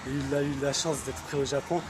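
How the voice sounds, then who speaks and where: A man's voice: medium pitch, flat delivery, quick pace. A man being interviewed casually, explaining his points and often repeating and rephrasing as he goes. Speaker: interviewee, combat sports practitioner.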